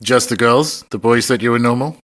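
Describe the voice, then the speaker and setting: A man's voice: medium pitch, brisk, fairly bright tone. A man hosting a podcast, speaking as presenter and interviewer. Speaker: podcast host. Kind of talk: scripted podcast introduction, then casual interview. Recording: recorded in a pub, cleaned up.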